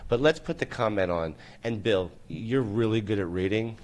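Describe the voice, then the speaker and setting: Male host, low-ish voice, loose and rambling, casual live-stream chat. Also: lively intonation, normal speed, slight background noise. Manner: loose, rambling, swears, lots of fillers. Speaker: talk show host.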